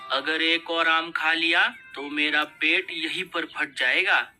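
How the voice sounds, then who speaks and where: A man's voice: on the high side, fairly even intonation, slow pace, bright, forceful tone, soft background music, full character voice. A man voicing a grumbling, overfed bird husband in a children's cartoon, complaining as he talks. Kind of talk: Hindi children's cartoon dialogue.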